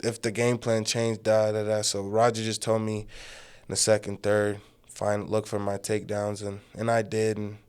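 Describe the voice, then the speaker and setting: A man's voice: lowish voice, monotone, moderate pace, a little mellow, smooth. A young man in his twenties, a guest, from Uganda, talking easygoing and loose with lots of "you know" fillers. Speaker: guest, amateur fighter. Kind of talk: casual interview chat.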